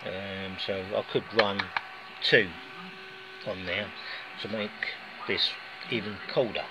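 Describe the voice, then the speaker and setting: A man's voice: lowish voice, steady tone, slow. A man with a British accent, casually chatting through a homemade gadget demonstration while filming on his phone in a garage. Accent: British English. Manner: chatty and rambling, with folksy asides. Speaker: hobbyist vlogger. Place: indoors, garage or workshop floor. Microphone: handheld phone filming. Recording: dull lo-fi phone audio with clear background noise.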